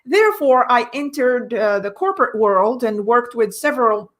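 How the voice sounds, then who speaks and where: A woman from Morocco, a business owner, speaking as a guest in a radio studio interview, energetic with thoughtful pauses. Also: on the high side.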